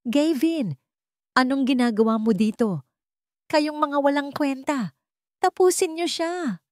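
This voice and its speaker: A woman's voice: sits high, takes it slowly, darkish tone, dry recording, clean studio sound, voice pushed up. A woman voicing a cold, taunting male villain in a dramatized audio story. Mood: furious. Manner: taunting, threatening.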